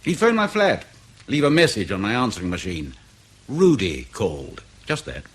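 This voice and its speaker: A man's voice: on the low side, big pitch swings, normal speed, fairly bright tone, husky, full character voice. An actor in radio drama playing a defensive spy in his late forties, curt, sardonic and guarded. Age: 40s. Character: bitter, defensive veteran British spy, aged forty-nine.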